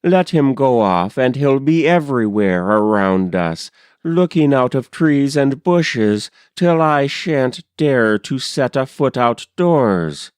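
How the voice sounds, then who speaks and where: A man's voice: medium pitch, animated, slow, darkish tone, clean tone, dry recording, studio quality. A man voicing a frightened, headstrong rural wife in a dramatic poetry reading, urgent, pleading and insistent.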